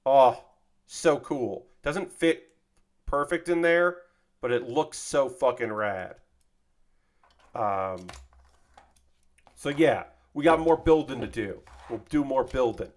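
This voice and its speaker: A man's voice: medium pitch, animated, slow pace, long pauses. Tired casual male streamer. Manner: loose and profane, lots of fillers. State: tired, voice a bit worn. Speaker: streamer building a model kit. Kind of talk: casual livestream chat.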